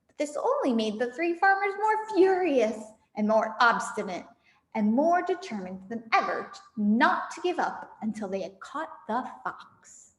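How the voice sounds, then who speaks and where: Animated woman reading a story, echoey room. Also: a little high, a little slow. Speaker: storybook reader for a camp audience. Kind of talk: expressive children's story read-aloud. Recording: echoey room with long reverb.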